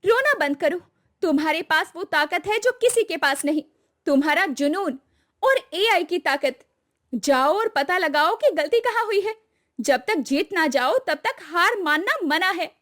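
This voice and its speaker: A woman's voice: very high voice, animated, unhurried, fairly bright tone, exaggerated voice. A woman voicing a spirited young woman, a loyal friend who scolds firmly and emphatically, in a cartoon story. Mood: firm, encouraging. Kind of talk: dramatic cartoon story dialogue.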